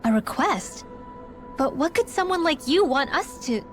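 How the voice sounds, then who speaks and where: A woman's voice: high voice, lively intonation, unhurried, faint music behind. A woman playing an anxious, earnest young girl adventurer in a video game cutscene. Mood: worried, questioning.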